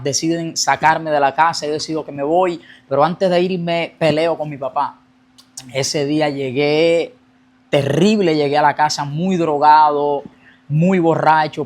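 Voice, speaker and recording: A young man tells his personal testimony in an earnest storytelling voice. His voice sits a little high for a man, and he rushes forward whenever the memories get intense. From Colombia. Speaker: guest giving a testimony.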